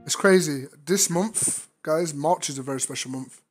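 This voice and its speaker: A man, a regular streamer, chatting casually in a virtual-reality game, his voice strained and hoarse after being ill, loud and crude in his teasing. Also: fairly high pitch, normal speed, soft background music. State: strained tired voice after illness. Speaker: VRChat streamer. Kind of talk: casual chat.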